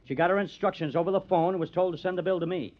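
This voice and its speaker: A man's voice: high voice, steady tone, rapid-fire. An actor playing a cunning, bitter artist who frames his rival, with theatrical, taunting intensity, in old-time radio drama style. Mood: smug, scheming. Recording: dull, lo-fi old radio recording.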